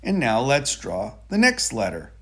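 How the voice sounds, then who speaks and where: A man reading a children's picture book aloud in a deliberate, expressive storytelling manner. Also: mid-range voice, breathy edge. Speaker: storybook reader.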